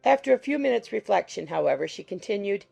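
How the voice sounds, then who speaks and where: Woman, audiobook narrator, heavy vocal fry, mellow tone, scripted literary reading, dull recording. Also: mid-range voice, animated, quick pace, faint music behind.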